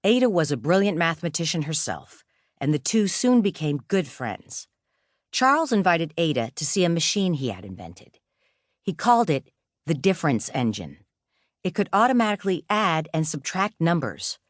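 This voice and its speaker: A woman reading a scripted children's storybook as an audiobook narrator, in a measured, expressive storytelling manner. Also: low voice, no echo, clean studio sound.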